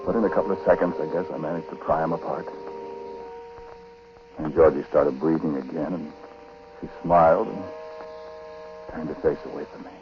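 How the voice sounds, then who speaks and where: An actor playing an uneasy husband in a 1940s radio drama, speaking colloquially and confidingly in hesitant, halting phrases. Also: male voice, a bass voice. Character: uneasy husband in a small-time psychic scheme, narrating confidingly. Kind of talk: 1940s radio drama acting, confessional narration. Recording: muffled, noisy 1940s radio broadcast recording.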